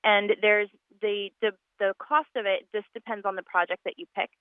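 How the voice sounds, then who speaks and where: Convidada nascida na Polônia, conversa casual por telefone, linha fina e abafada, cheia de "um" e recomeços. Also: female voice, medium pitch, fairly even intonation, moderate pace, smooth, dry recording. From Poland. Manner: chatty, with many ums and restarts. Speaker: guest fundraiser organizer. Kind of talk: casual radio interview by phone. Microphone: phone line. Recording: tinny phone line.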